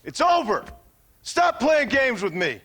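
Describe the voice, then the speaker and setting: An actor playing a desperate, hot-tempered American former outlaw, blunt and confrontational, in a western game. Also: male voice, extremely high pitch, sing-song, measured pace, fairly bright tone, full character voice. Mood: angry, demanding. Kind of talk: dramatic game cutscene acting.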